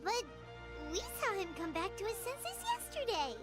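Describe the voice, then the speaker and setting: Squeaky, very high childlike fairy. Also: female voice, wildly swooping pitch, normal speed, music in the background, heavily acted. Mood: protesting, hopeful. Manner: squeaky, talks of herself in third person. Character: tiny childlike female fairy companion, excitable and earnest. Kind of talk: video game voice acting.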